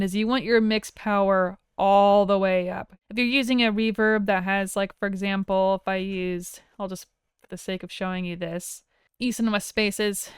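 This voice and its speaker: A woman instructor narrating a software tutorial in a casual, informal way with wry asides, recorded as a voice-over. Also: medium pitch, clean tone, dry recording, clean studio sound. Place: close, dry voice-over for a screen recording.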